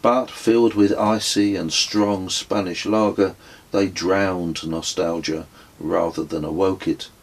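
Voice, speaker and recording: A man narrates a travel memoir in a very deep voice. His delivery is slow and deliberate, like a storyteller taking his time. The tone is distinctly husky, suited to a scripted audiobook. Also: steady tone, poor audio. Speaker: author reading own travel memoir.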